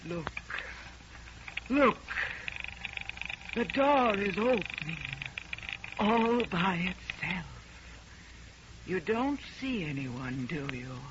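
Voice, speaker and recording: An actress playing a haunted, grieving mother, melodramatic and insistent, in an old radio horror play. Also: mid-range voice. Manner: melodramatic, intense, deliberate. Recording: noisy, dull old radio recording.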